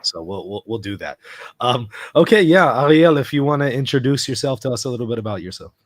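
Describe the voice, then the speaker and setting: A man's voice: mid-range voice, fairly quick, no echo. Eager male interview host, dry sound. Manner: enthusiastic, lively interjections. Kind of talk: casual interview chat.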